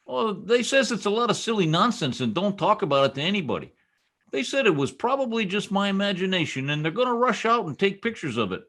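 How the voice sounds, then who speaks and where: A man's voice: high voice, big pitch swings, brisk, fairly bright tone, exaggerated voice. A man playing a blustery, boastful small-town husband in old-time radio comedy, with folksy exclamations. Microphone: video call microphone. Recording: video call recording.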